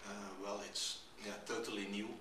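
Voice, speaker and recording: Hesitant low-voiced student man. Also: flat delivery, moderate pace, background noise. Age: 20s. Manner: hesitant, many uhs and restarts. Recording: echoey room, mic far off.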